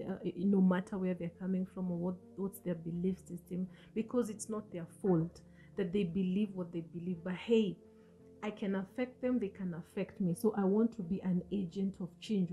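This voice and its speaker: A woman's voice: lowish voice, dark tone, poor audio. A woman in her forties, hosting a motivational talk in an earnest, coaching manner and asking the listener questions. She speaks into a studio mic in a small room, and English is not her first language. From Africa. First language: an African language. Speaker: host. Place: small indoor room, seated on a sofa. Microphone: studio mic on a stand in front of her.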